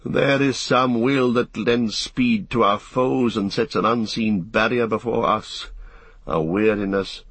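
Male narrator as grave ranger, lowish husky voice, watchful, brooding, resolute, fantasy audiobook. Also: a bit bright, dry recording, exaggerated voice. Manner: brooding, measured, archaic phrasing.

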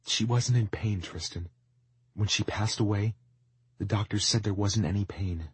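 A man voicing a flustered, wounded young woman in love, expressive and emotional, as in an audiobook. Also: fairly low pitch, normal speed, slightly rough, no echo, voice pushed up. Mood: soft, hesitant. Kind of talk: audiobook character acting.

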